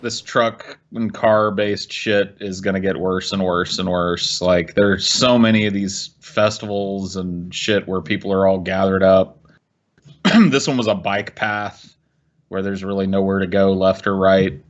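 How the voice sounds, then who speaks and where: A man with an American accent talks casually on a podcast as co-host. His voice sits fairly low, and the audio is a little dull. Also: fairly even intonation, a little slow. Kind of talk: casual news discussion.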